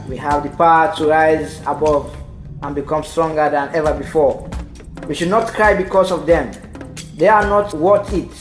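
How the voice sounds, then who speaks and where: A man playing an aggrieved village faction leader, speaking in a measured, oratorical way in an audio drama. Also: fairly high pitch, natural voice. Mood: solemn, defiant. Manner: measured, oratorical, proverb-laden. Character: aggrieved male village faction leader rallying his men. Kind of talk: amateur audio drama acting. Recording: echoey room with music underneath.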